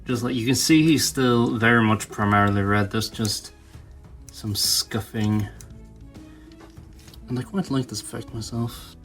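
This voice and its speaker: Slow-paced man talking through hobby work. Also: medium pitch, fairly bright tone, soft background music. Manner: informal, thinking aloud while working. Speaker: toy customizer presenting own channel. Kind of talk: casual hobby tutorial commentary.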